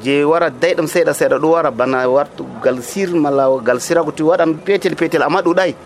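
High-voiced man, interviewed at a market. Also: slight background noise. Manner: hesitant, halting talk. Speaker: market trader. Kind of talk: field interview. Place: outdoor market.

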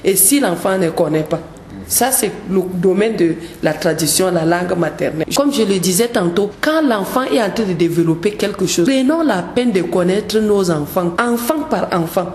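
A woman's voice: mid-range voice, lively intonation, moderate pace, a little mellow, echoey room, a little hiss or hum. A woman, a gospel singer speaking earnestly as a radio guest, often repeating words as she searches for them. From Cameroon. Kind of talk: radio interview.

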